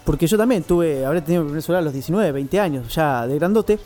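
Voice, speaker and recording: A man's voice: on the high side, lively intonation, quick pace, dark tone. A young man with an Argentine accent, sharing colloquial anecdotes as co-host of a casual radio chat. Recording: music playing behind. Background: background music.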